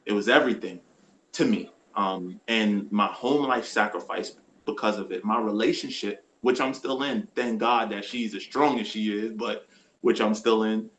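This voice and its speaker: A man's voice: lowish voice, moderate pace, a bit bright, low-quality recording. A man in his thirties speaking as a livestream guest, earnest and reflective. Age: 30s. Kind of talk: casual live-stream chat.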